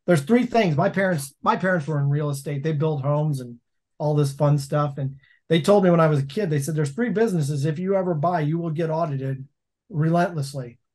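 A man's voice: on the high side, fairly quick. A man in his forties, a laundromat owner telling stories in a casual interview over a video call from a home office. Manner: storytelling, animated hand gestures.

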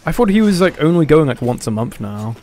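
A man's voice: fairly high pitch, big pitch swings, a little fast, dark tone. A man hosts a casual gaming livestream, hesitant and mumbly, with plenty of swearing. Game music plays faintly behind him. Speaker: livestream host. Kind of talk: casual gaming stream chat.

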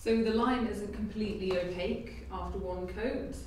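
A young woman talks casually about her renovation work, thinking out loud as she goes. Her voice rings around a large empty brick barn and is picked up from a distance. A faint hiss sits underneath. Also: medium pitch, fairly even intonation, moderate pace. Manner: chatty, thinking aloud while working. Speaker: DIY renovation vlogger. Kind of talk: casual vlog narration. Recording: echoey barn, mic set far off, faint background hiss.

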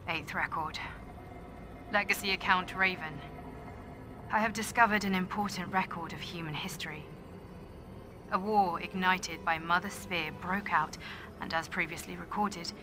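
Woman as low-voiced grim soldier, music behind. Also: natural voice. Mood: solemn. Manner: deliberate, grave, clipped phrases. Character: grim, solemn female soldier logging discoveries. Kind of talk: dramatic recorded log in a video game.

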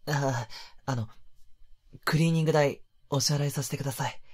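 A male voice actor playing a polite, flustered young man in a romantic voice drama, gentle and hesitant, with a soft breathy delivery. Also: on the high side, steady tone, normal speed. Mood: flustered, apologetic. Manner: hesitant, bashful, apologetic, gentle.

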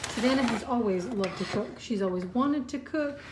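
A woman's voice: on the high side, lively intonation, moderate pace, background noise. A woman in her thirties, a mom hosting a casual family cooking video, patiently walking a child through each step with encouragement, recorded on a counter camera in a home kitchen. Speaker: mom and channel host. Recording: echoey kitchen with clattering dishes.

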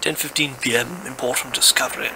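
A man playing an expedition scientist in an audio drama, dictating halting, clipped field reports. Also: medium pitch, steady tone, lightly acted. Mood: excited, awed. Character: male expedition scientist relaying field discoveries by radio. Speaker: voice actor in an audio play. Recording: clearly noisy background.

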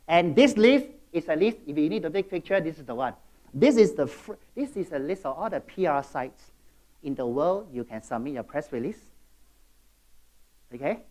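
A middle-aged man with a Singaporean English accent giving a motivational keynote, jumping between loud bursts and quiet asides and checking in with the audience. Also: fairly high pitch. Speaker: keynote speaker.

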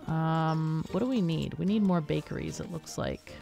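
A woman's voice: low voice, moderate pace, creaky, mellow. A woman giving casual game commentary, chatting and thinking aloud as she plays. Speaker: gaming host. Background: game music.

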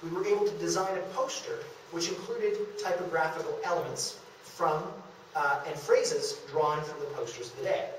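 A man's voice: a little high, steady tone, normal speed. A young man presenting a prepared, scripted public lecture at a podium in a meeting room. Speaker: lecturer presenting a local theatre history talk. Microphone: distant camera microphone. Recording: echoey room, distant camera, background hiss.

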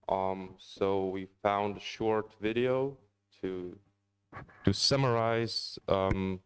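A man's voice: fairly low pitch, smooth. A young man casually presenting a country to an audience, with hesitant ums. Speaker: student presenter. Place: indoor room.

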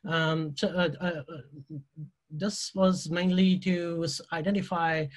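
Guy presenting slides on a video call, kinda high voice, lots of uh's and restarts. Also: level tone. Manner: halting, many "uh" fillers and restarts. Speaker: university tech presenter.

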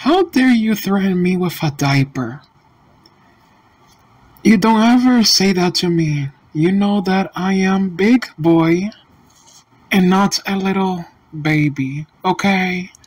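A synthetic text-to-speech voice playing a defiant, mocking young boy gamer in a cartoon, talking in a slow, stiff way. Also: mid-range voice, low-quality recording.

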